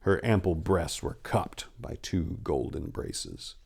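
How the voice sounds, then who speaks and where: Man, low voice, theatrical storyteller, dramatic reading, fantasy tale. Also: lively intonation, takes it slowly, vocal fry, a little mellow, husky. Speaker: story narrator.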